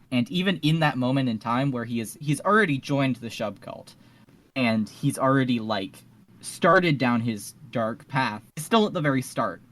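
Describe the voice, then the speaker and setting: A man's voice: medium pitch, a bit bright. A man speaking as a podcast panelist in a casual fan discussion, earnest and emphatic, repeating phrases for stress.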